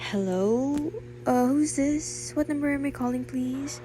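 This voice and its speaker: A woman's voice: sits high, mellow. A woman playing a nervous, demanding, sickly housewife in a radio play, speaking in a fretful, imperious manner. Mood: puzzled. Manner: fretful, imperious, halting when shocked.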